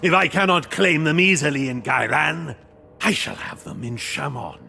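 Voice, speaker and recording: An actor playing an imperious undead spirit commander in a video game, gravelly and theatrical, cruel and full of disgust for the living. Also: male voice, fairly high pitch, animated, exaggerated voice. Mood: commanding. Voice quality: gravelly, creaking, hissing. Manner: slow, grandiose, menacing, swinging from whisper to shout.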